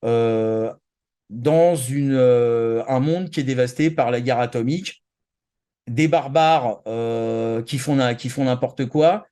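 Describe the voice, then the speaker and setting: A man's voice: medium pitch, slow, warm tone, smooth. A man co-hosting a pop culture show in casual, slangy chat, often hesitating and searching for his words. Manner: rambling, hesitant, word-searching; informal slangy talk. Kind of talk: casual chat about anime and manga.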